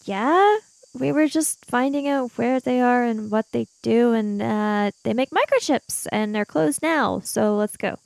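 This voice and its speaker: A woman's voice: fairly high pitch, a little slow, vocal fry, a little mellow, no echo. A woman playing a bookish, argumentative schoolgirl in an improvised tabletop adventure, wordy and pedantic. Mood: matter-of-fact. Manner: pedantic, wordy, indignant when teased.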